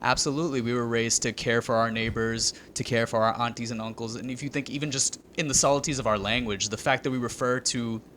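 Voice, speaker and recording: A man hosting an interview podcast, asking questions in a measured, articulate way. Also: mid-range voice, fairly even intonation, a bit bright, a little hiss or hum. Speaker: podcast host.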